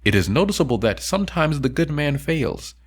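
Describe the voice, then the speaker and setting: A man reading a philosophical audiobook in a measured, emphatic way with deliberate pauses. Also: fairly high pitch, very animated, husky. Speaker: audiobook reader. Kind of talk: scripted audiobook narration of a philosophical text.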